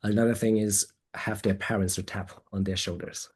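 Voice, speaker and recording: Male therapist presenting a webinar: husky, lowish voice, hesitant delivery. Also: little pitch movement, normal speed, a little mellow. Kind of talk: presentation and question answering.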